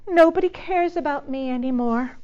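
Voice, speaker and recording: Woman as a female cat, storybook character, haughty, vain, pampered, slightly raised pitch, dull recording. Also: normal speed, mellow, close to an everyday voice. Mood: self-pitying. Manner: haughty, self-absorbed.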